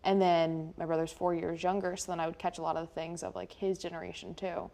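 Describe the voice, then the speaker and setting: A woman's voice: lowish voice, level tone, quick pace, mellow. A young American woman chatting casually and informally as a host, often dropping "like" into her sentences. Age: young adult. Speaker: local TV station chat host. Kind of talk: casual unscripted chat.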